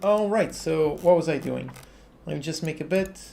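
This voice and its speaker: A man's voice: fairly high pitch, normal speed, gravelly. A Portuguese man in his thirties with a Portuguese accent, chatting casually on a livestream as he works on a game and answers viewers' questions frankly. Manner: relaxed, reads chat questions aloud and answers frankly. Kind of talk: casual livestream chat while working. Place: home office, indoors. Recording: quiet lofi music underneath.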